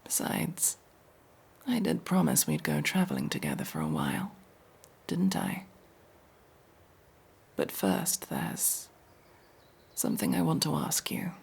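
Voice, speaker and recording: A woman playing a masked tsundere elf mercenary in an intimate ASMR roleplay, speaking in a hushed, halting, breathy and husky voice. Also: very deep voice, wildly swooping pitch, full character voice. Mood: soft, relieved.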